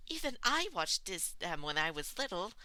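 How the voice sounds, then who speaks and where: A narrator voicing an excitable, bloodthirsty girl villain in a gleeful, chirpy manner. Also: medium pitch, moderate pace, no echo, pitched above the performer's own voice.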